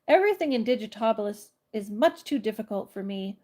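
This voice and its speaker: A woman's voice: medium pitch, animated, a little fast, darkish tone, close to an everyday voice. A woman with a congested cold voicing an earnest, curious young boy in a storybook reading. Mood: discouraged.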